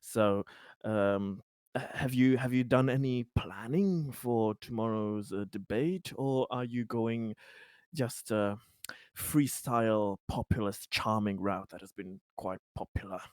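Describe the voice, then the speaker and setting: Guy doing an eager scheming political helper in an rpg, polite n stammery, kinda low smooth voice. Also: slow, darkish tone, no echo, clean studio sound. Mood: chatty, hesitant.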